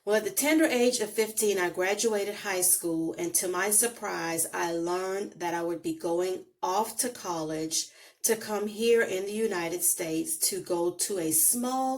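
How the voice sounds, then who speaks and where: A middle-aged woman from the Bahamas with a Bahamian accent, telling her life story to camera slowly and reflectively, recorded on a phone on a holder. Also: fairly low pitch. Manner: slow, deliberate, reflective delivery with emphatic pauses.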